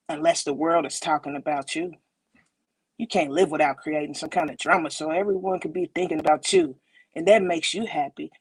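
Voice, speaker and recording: A woman performing an audition monologue as an exasperated relative, accusing and pleading in a rapid-fire delivery. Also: deep voice, slightly rough, no echo, rough recording. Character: exasperated relative confronting an attention-seeking, drama-making family member.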